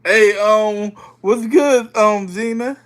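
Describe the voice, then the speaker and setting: Young male streamer, a bit high-pitched, still getting over a cold, casual and rambling with chat. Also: slow, fairly bright tone. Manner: loose, rambling, greets chat by name. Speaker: livestreamer. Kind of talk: casual livestream chat with viewers.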